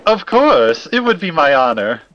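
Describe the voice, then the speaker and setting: A man's voice: fairly high pitch, very animated, a little slow, a bit bright, dull audio. A man playing a formal, fussy academic in an audio drama, precise and wordy, with old-fashioned politeness. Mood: eager, courteous. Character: formal, fussy, courteous male academic investigator.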